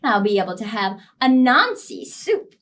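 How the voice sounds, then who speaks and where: Woman as a deliberate, scheming storybook monkey, animated. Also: a little high, distant mic. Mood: sly, confiding. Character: male storybook monkey, wronged, calmly scheming trickster.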